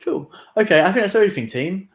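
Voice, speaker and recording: A man's voice: high-pitched, big pitch swings, fast talker. A man hosting a casual chat show, rambling with lots of fillers and laughs. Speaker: podcast host.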